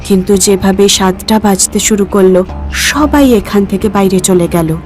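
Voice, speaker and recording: A woman's voice: mid-range voice, normal speed, a little mellow. A woman giving scripted narration for a cartoon ghost story. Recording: music behind the voice.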